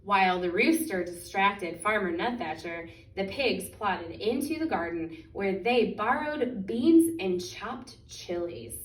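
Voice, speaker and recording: A woman reads a picture book aloud for children in an expressive, deliberate way, stretching out key words. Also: mid-range voice. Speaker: children's story reader. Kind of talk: animated picture-book storytime reading. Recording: echoey room, distant microphone.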